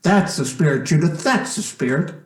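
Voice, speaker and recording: A man playing a kindly, flustered father who speaks haltingly and reassuringly, in old-time radio drama style. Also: on the high side, animated, natural voice. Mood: soothing. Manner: halting, stammering. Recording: echoey room with faint noise.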